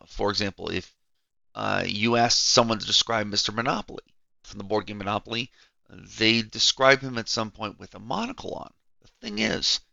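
A man's voice: on the low side, creaky, sharp tone, dry recording, muffled recording. A man hosting a solo podcast while he has a head cold, so he sounds a little nasal and froggy, talking conversationally and animatedly with some restarts. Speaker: podcast host.

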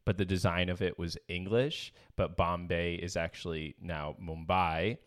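A man with a low voice talks casually as a podcast co-host. He thinks aloud in an analytical, slightly hesitant way. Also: steady tone, unhurried. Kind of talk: casual chat.

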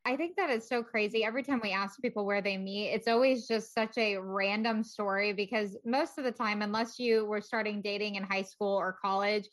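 A woman's voice: medium pitch, fairly even intonation, fairly quick, few pauses, poor audio. A woman co-hosting a podcast in an upbeat, conversational way. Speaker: podcast co-host.

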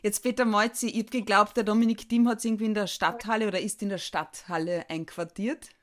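A woman's voice: medium pitch, fairly even intonation, fairly quick. Welcoming woman host. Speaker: interview host. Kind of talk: hosting an interview.

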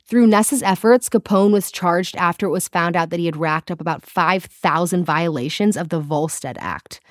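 A woman's voice: lowish voice, normal speed, dry recording, clean studio sound. A South African woman co-hosting a podcast, telling history in casual chat with lots of laughter and playful voices. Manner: giggly, animated storytelling with silly voices. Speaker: podcast co-host.

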